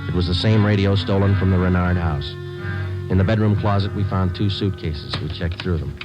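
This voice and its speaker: An American actor playing a terse, businesslike police detective sergeant who narrates in clipped, matter-of-fact fashion in an old radio drama. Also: male voice, deep voice, fairly even intonation, brisk, close to an everyday voice. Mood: deadpan narrating. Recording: dull old radio recording, music behind.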